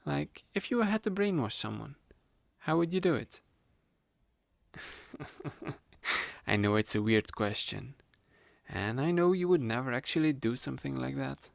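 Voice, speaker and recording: Man playing a gravelly, sly young hypnotist. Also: mid-range voice, expressive, measured pace, pauses often, dry recording. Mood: casual, explanatory. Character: sly young male kitsune student, playful manipulative hypnotist roommate. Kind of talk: ASMR roleplay, addressed to the listener. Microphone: close studio-style microphone, dry.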